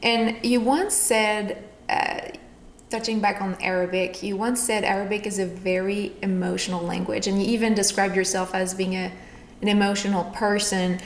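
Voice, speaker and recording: Casting: female interviewer, mid-pitched voice, reflective and conversational, recorded in a roomy space with faint noise. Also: level tone, moderate pace. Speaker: podcast host. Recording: echoey room, faint background noise.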